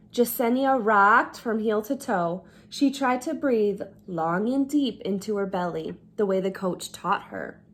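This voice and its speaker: A woman storyteller reading a children's book aloud with expressive, deliberate, dramatic phrasing. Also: a little high. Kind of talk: expressive read-aloud narration.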